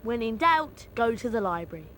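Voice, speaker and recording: An actor voicing a brisk young British school pupil in a wizarding video game. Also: mid-range voice, big pitch swings, pushed and bright, slight background noise. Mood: matter-of-fact. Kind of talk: video game character acting.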